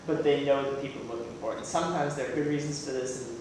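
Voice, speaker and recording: Male audience questioner, hesitant and halting, distant in a very echoey room with clear room noise. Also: mid-range voice. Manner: hesitant, searching for words. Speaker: audience member asking a question. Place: conference session room. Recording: very echoey room, far from the mic.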